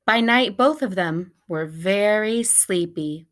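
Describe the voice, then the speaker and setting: A woman reading a children's picture book aloud in a deliberate, measured way, like a teacher reading to pupils. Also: medium pitch, expressive, a bit bright. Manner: deliberate, measured storybook delivery.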